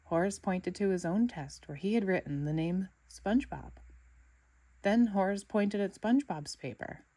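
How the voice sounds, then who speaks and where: A woman with a medium-pitched voice reads a children's storybook aloud. Her narration is expressive and dramatic, made for young listeners following along. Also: moderate pace, no echo. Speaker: storybook reader.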